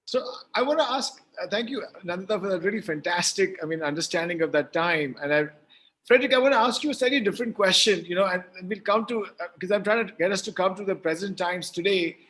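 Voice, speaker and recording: A man, hosting and moderating a panel and asking friendly, halting questions. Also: high-pitched, lively intonation, fairly bright tone. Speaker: host, moderator.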